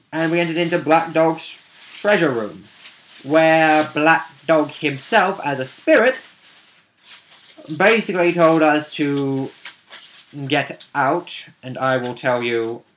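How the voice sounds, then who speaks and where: A man's voice: fairly high pitch, slow pace, long pauses, a bit bright, a little hiss or hum, poor audio. A man telling a casual, unscripted recap of a tabletop roleplaying session, wordy and rambling, with asides and self-corrections.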